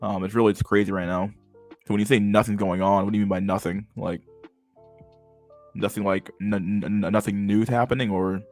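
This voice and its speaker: A man in his twenties with a stammer and a lisp, chatting casually to his livestream chat, halting and restarting words and swearing freely. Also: lowish voice, steady tone, a little mellow, faint music behind. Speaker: livestream host reading chat.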